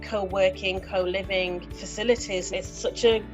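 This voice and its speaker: A woman's voice: mid-range voice, fairly even intonation, measured pace, music in the background. A woman from England talking in a documentary interview. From Manchester, England.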